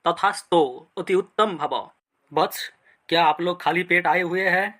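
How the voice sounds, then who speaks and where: A man's voice: high voice, fairly even intonation, normal speed, pushed and bright. A man playing a pompous fake guru in a cartoon comedy, speaking in solemn blessings and chants. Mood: pompous, pious. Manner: pompous, chanting, repeating devotional catchphrases. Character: fake holy man, pompous male guru feigning meditation.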